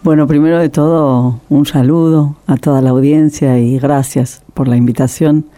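Woman, middle-aged, documentary filmmaker, very mellow dark timbre, emotional, repeats words. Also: low-pitched, steady tone, normal speed. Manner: passionate, emotional, many restarts. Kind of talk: interview, personal storytelling.

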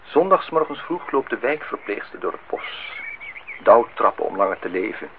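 An actor playing a polite, lonely host in a radio play, formal and slightly insistent. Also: male voice, on the low side, very animated, moderate pace. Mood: polite, probing. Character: polite, lonely man hosting a young woman at his lakeside house. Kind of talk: Dutch radio play acting. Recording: noisy, tinny old radio recording.

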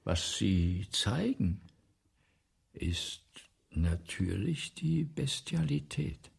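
An actor in a German radio play playing an anguished, fearful French playwright, theatrical and husky, swinging from hushed weariness to declamation. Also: male voice, lowish voice, sing-song, extremely slow, heavily acted. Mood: resigned, grave. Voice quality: husky, hoarse, gravelly.

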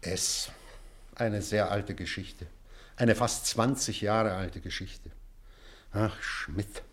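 Old male teacher, kinda deep, slow n thoughtful, wry and weary, drama piece. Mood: somber, confiding. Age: older. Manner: deliberate, pensive, measured delivery with weighty pauses. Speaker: actor. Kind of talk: German literary stage drama.

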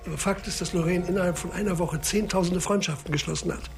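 Creaky, high-voiced male police inspector. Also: steady tone, fast, over music. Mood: intent, urgent. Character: aging male police inspector, driven, increasingly frantic. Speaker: actor in a radio crime drama. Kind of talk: radio drama acting.

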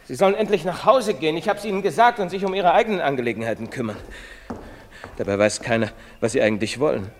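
A man's voice: on the high side, close to an everyday voice. Solemn pleading priest played by a man, ringing hall. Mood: exasperated. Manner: solemn, halting, earnest. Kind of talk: theatrical stage-play acting. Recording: long reverberant hall sound.

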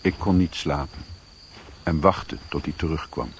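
An actor playing a sombre adventurer who narrates his journey in a radio play, with a husky voice. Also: extremely low pitch, unhurried, a little hiss or hum. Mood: subdued.

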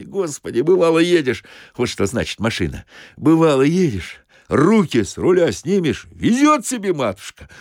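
A man's voice: a little high, dry recording, clean studio sound, pitched above the performer's own voice. A man voicing an aggrieved bus driver in a comic audio story, grumbling and cursing in folksy colloquial speech. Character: aggrieved, cursing bus driver, sentimental about his old machine.

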